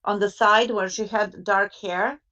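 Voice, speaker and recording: A woman's voice: mid-range voice, fairly even intonation, measured pace, sharp tone. A woman chatting bluntly and conversationally as a panelist, heard over a lo-fi online call. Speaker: discussion panelist. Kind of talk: casual opinionated chat.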